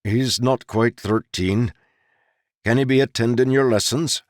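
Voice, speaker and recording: A man doing a humble, gentle lumberman dad. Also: medium pitch, measured pace, dry recording, studio quality, voice pushed up, close to an everyday voice. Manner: deferential to nobles, gentle with his son. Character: large, humble male lumberman father, hopeful.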